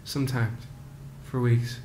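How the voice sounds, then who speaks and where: A man in his late teens, a student, solemnly reading a poem in deliberate phrases into a webcam at home. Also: level tone, darkish tone. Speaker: student poet. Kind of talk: poetry reading. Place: living room, close webcam. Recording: faint rumbling background noise.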